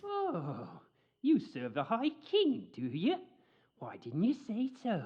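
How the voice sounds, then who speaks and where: An actor playing a crude, sarcastic mercenary leader with rough, rustic speech. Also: male voice, high voice, wildly swooping pitch, takes it slowly, highs cut off, full character voice. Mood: mocking.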